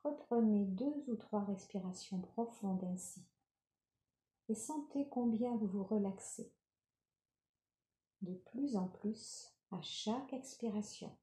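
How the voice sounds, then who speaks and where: A woman leading a guided yoga nidra relaxation, giving calm, gentle, unhurried instructions. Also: mid-range voice. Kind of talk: guided meditation, soothing instruction. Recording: roomy, reverberant sound.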